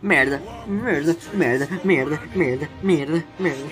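A man's voice: a little high, unhurried, music in the background, natural voice. A man with a Brazilian accent playing an anxious, insecure, ambitious teenage schoolboy in a dramatic audio drama, speaking emotively and emphatically. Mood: frustrated. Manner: emotive, emphatic, repeating words when agitated. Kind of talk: dramatic first-person narration and dialogue in audio drama.

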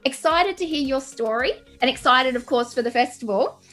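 A woman with a high voice hosts a podcast interview, introducing and questioning a guest. Faint music can be heard along with her. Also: lively intonation, normal speed, a bit bright.

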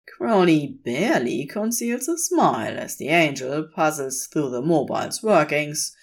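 Slow, expressive woman narrator, lowish voice. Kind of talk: scripted fiction narration.

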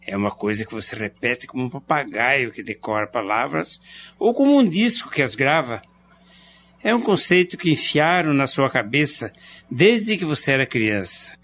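A man's voice: mid-range voice, animated, normal speed, fairly bright tone, highs cut off, slight background noise. An actor playing a wealthy husband in a radio drama, lecturing his wife with sarcasm. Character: wealthy husband Noronha, middle-aged, argumentative and lecturing.

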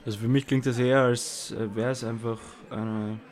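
A man's voice: mid-range voice, little pitch movement, moderate pace, a little mellow. A man from Vienna with a Viennese accent, talking casually as a podcast co-host. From Vienna, Austria.

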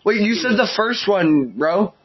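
A man's voice: high voice, expressive, unhurried, over a noisy crowd. A man co-hosting a casual podcast with friends, joking and laughing often. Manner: giggly, jokey, laughs often. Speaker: podcast co-host. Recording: muffled lo-fi with clear background noise.

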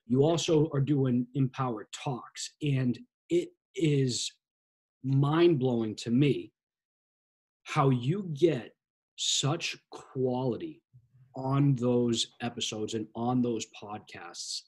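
A man with a husky voice hosts a casual podcast interview in a laid-back, easygoing way. He talks loosely and slips "dude" into his questions. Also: mid-range voice, slow pace, a little mellow. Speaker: podcast host.

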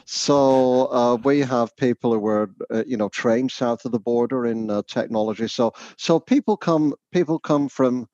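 A man's voice: medium pitch, moderate pace, few pauses, darkish tone, no echo, lo-fi sound. A man from the north of England with a Northern English accent, answering interview questions thoughtfully with frequent fillers and restarts. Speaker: education researcher.

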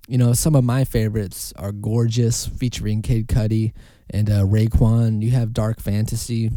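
A young man hosts his own podcast in a loose, rambling, unhurried way, dropping curse words as he goes. His voice is very dark and mellow. The sound is dry and close, with no room around it. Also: mid-range voice, no room sound. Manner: loose, rambling, swears casually. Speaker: podcast host. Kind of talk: casual solo podcast talk.

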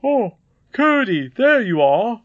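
A reader playing a weary aging father, raised pitch. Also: moderate pace, narrow, tinny audio, natural voice. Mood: fond, weary. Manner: gentle, reflective.

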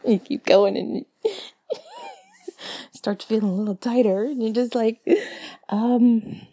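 A woman talking in an intimate, playful ASMR style close to the mic, soft and breathy, often slipping toward a whisper. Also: a little high, steady tone, slow. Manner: giggly, playful, chatty. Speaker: audio performer addressing the listener. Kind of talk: intimate ASMR, rambling and affectionate.

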